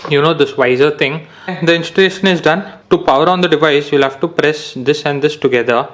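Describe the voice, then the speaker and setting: A young man explaining an unboxing and install step by step, with many pauses and fillers. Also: on the high side, fairly bright tone. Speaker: motorcycle gear reviewer. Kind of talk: casual product unboxing and how-to.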